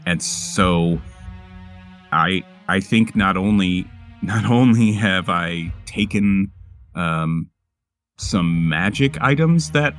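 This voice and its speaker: A man with a very low voice improvises in character at a tabletop game. He speaks haltingly, searching for his words, over clearly audible music. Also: a bit bright. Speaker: player in a tabletop roleplaying game. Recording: music playing behind the voice.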